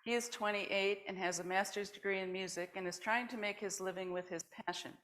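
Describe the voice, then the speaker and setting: A woman is presenting at a worship service. She reads short personal vignettes aloud to a congregation, in a voice of middling pitch for a woman. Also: fairly even intonation, brisk, fairly bright tone, rough recording.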